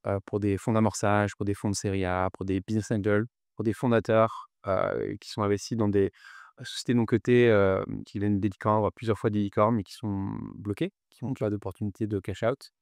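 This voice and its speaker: Smooth low-voiced man, hesitant speaker. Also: flat delivery, brisk, a little mellow, no echo, clean studio sound. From New Caledonia. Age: 30s. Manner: hesitant, frequent fillers and repeated words. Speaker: podcast guest, finance executive. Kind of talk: conversational interview answers.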